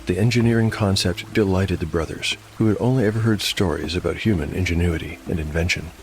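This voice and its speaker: A man narrating as host of a scripted dark fantasy story show, in a measured, articulate storytelling manner. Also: deep voice, poor audio. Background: music.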